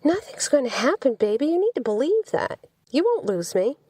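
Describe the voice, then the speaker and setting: A woman voicing a sweet, chatty young woman who is easily exasperated, in an animated audiobook reading. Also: high-pitched, moderate pace, no echo, clean studio sound, pitched above the performer's own voice. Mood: reassuring. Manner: animated, indignant when challenged.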